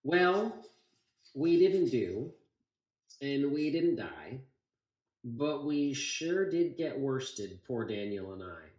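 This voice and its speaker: Slow, deliberate man reading in echoey room. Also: medium pitch, very animated, darkish tone. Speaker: volunteer storybook reader. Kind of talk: expressive read-aloud of a rhyming children's story.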